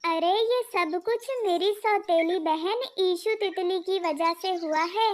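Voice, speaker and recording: A woman's voice: extremely high pitch, takes it slowly. A woman voicing a jealous young butterfly sister in a children's cartoon, with a plaintive, dramatic manner. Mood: bitter, spiteful. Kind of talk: Hindi children's cartoon dialogue.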